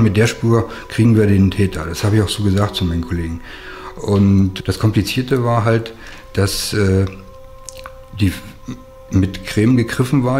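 Middle-aged man, fairly low voice, forensic expert, recounting in interview. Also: darkish tone. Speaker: police forensic technician. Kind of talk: documentary interview. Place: dim room with monitors.

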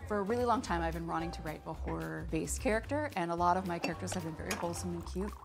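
Female, 30s, lower register with noticeable vocal fry, presenting as a game writer over light music. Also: rapid-fire. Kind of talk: scripted developer video presentation.

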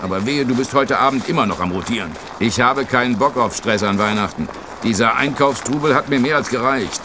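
An actor playing a short-tempered father who speaks gruffly and snappishly in an audio drama. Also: mid-range voice, brisk, natural voice. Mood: irritated, defensive. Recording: busy outdoor street noise.

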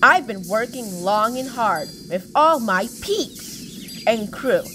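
A woman's voice: a little high, expressive, slow pace, background noise. A woman reading a children's storybook aloud in a narrator's style. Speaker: storybook reader.

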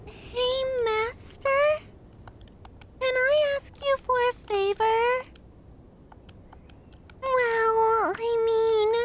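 Very high-pitched, needy cartoonish puppygirl. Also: noisy background, full character voice. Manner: cutesy, pouty, clingy. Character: needy, affectionate, excitable young puppygirl devoted to her master. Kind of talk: ASMR roleplay.

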